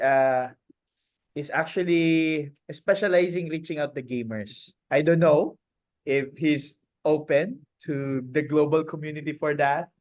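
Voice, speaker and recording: A man from the Philippines, answering webinar questions on a video call and often stopping mid-sentence to find his words. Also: on the high side. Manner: halting, searching for words.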